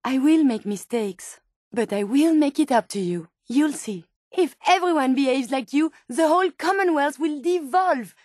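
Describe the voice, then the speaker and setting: A woman's voice: high voice, expressive, normal speed, darkish tone, no room sound, studio quality. A woman voicing a polite, earnest female robot scientist companion in a video game, with a French accent and precise, formal, inquisitive speech. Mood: indignant, hurt.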